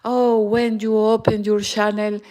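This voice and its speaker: Slow-speaking Peruvian woman crocheting. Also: mid-range voice, level tone, dark tone. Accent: Peruvian Spanish. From Peru. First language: Spanish. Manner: slow, halting English with frequent fillers and switches into Spanish. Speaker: crochet channel host. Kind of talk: live-stream crochet tutorial and chat. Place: home in Germany.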